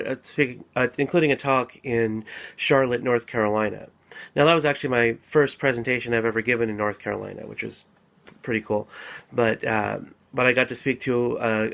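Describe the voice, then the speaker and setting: Gravelly man recovering from a cold. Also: mid-range voice, fairly bright tone. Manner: measured, explanatory, with frequent fillers and restarts. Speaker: apologetics speaker. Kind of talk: casual solo video talk. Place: at home.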